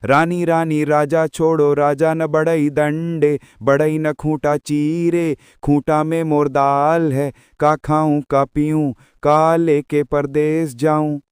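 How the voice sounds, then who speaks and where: A man voicing a tiny, plucky mother bird in a storybook folk tale, pleading in sing-song rhymes with drawn-out endings. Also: fairly high pitch, little pitch movement, darkish tone, smooth, no room sound, studio quality.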